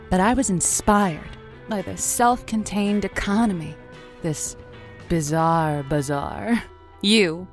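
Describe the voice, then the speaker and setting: Theatrical low-voiced radio matchmaker. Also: female voice. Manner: theatrical, playful, wordplay-loving. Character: chatty, flirty woman radio matchmaking host. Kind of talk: radio show monologue in an audio drama.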